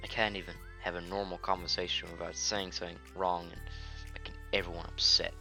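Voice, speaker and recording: A young man doing a quiet, gloomy shy girl. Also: low voice, little pitch movement, fairly quick, vocal fry, soft background music, pitched below the performer's own voice, full character voice. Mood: timid. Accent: British. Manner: quiet, mumbled, hesitant.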